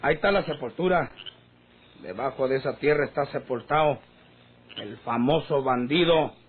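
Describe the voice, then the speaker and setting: A man's voice: medium pitch, normal speed, natural voice. An actor playing an insistent, placating hired gunman, earnest and persuasive, in a rural radio drama. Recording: noisy, narrow-band old radio recording.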